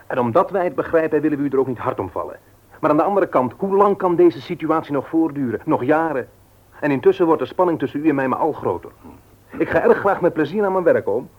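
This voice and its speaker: A man's voice: a little high, animated, fast. A man acting in a radio play as a firm, frank nephew, formal and argumentative. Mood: exasperated. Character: firm, frank married nephew running his uncle's business. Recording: dull, lo-fi old radio recording.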